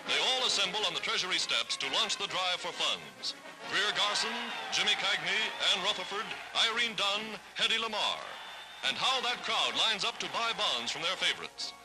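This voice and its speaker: A man's voice: high voice, expressive, normal speed, music in the background, poor audio. An American man narrating a 1940s newsreel from a script, with an emphatic, declamatory delivery on a vintage film soundtrack.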